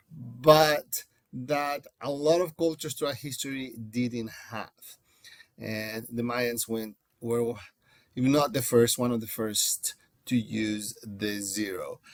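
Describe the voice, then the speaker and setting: A man with a Mexican accent teaches a class in a conversational, explanatory way. His voice sits a little on the high side and he takes his time, speaking slowly. The sound is close and dry. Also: no echo. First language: Spanish. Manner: explanatory, conversational, addresses listeners directly. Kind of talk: informal recorded lecture to a class.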